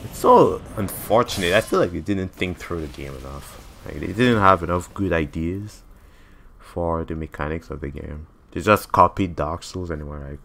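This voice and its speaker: A man's voice: sits low, lively intonation, normal speed, vocal fry, fairly bright tone. A man in his twenties, a native French speaker with a French accent, giving casual, blunt gameplay commentary and swearing freely. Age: 20s. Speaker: gamer.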